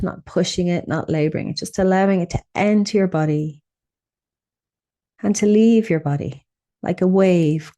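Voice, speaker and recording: Low, mellow woman guiding meditation. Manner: soothing, unhurried, gently instructing with pauses. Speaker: guided meditation host. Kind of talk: guided meditation.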